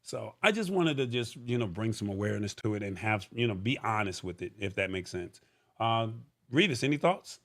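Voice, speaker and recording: Male livestream host, low and creaky voice, informal, conversational delivery. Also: animated, fairly quick, a bit bright. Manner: informal, conversational, thinking aloud. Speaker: live show host. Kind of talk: casual livestream talk, reading viewer comments.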